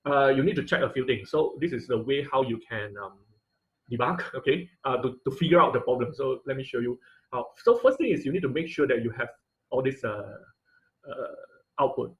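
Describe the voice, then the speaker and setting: A man teaching an online class in an informal, halting tutorial style, often checking in with listeners. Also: mid-range voice, lively intonation. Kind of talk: informal tutorial with live demonstration. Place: online video call class.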